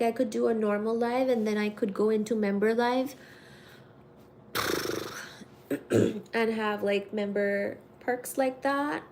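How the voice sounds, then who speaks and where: A woman in her thirties from India, chatting casually with her viewers on a livestream, giggly and quick to get excited reading the chat. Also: on the high side, slight background noise. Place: home room with cat shelves.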